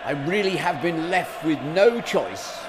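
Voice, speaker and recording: A man's voice: on the high side, lively intonation, unhurried. A man playing an embattled, defiant Prime Minister, making a formal, declamatory political speech in a radio drama. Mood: solemn, defiant. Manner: formal, declamatory, self-justifying. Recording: noisy chamber with crowd murmur.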